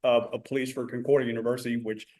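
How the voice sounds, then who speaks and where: A mid-pitched man introduces himself at a public meeting in an earnest, halting way. He pauses often as he moves through his background. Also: level tone, darkish tone. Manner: earnest, with frequent "uh" fillers. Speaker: HOA board candidate. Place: community meeting room.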